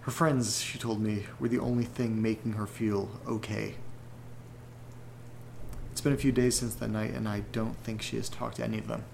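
A low-voiced man acting as an uneasy horror podcast host, reading letters in an audio drama. He is earnest and deliberate, speaking with an even, restrained delivery and a gravelly edge. Background ambience is clearly audible behind him. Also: slight background noise. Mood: earnest, sympathetic.

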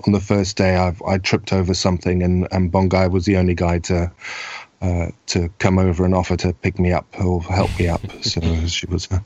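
A man's voice: low voice, monotone, brisk, a little mellow, no echo. A man in his forties from Zimbabwe, chatting in a friendly, self-deprecating way, often restarting his sentences. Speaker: podcast guest. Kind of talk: casual podcast chat. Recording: dull lo-fi remote audio.

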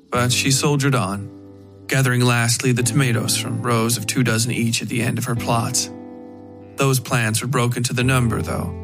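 Guy narrating a spooky audio story, gravelly, measured w dramatic pauses, faint music behind. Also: medium pitch. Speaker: narrator of a horror fiction podcast.

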